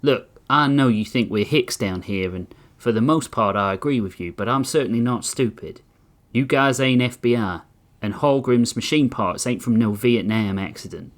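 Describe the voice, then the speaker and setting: A man's voice: mid-range voice, moderate pace, close to an everyday voice. Guy reading a folksy small-town cop, blunt and plainspoken. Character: blunt small-town male police chief.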